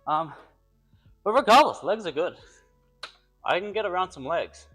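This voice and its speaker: Lively man rambling through a workout. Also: sits high, normal speed, faint music behind. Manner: rambling, joking, thinks aloud between sets. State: slightly congested from a cold. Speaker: personal trainer. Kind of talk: casual workout vlog talk.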